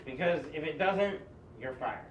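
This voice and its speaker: Affable, demanding male director boss , read by a man: higher voice, halting lines, roomy distant sound. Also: expressive, close to an everyday voice. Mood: matter-of-fact. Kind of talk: amateur script table read. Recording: echoey, muffled, distant mic, faint noise.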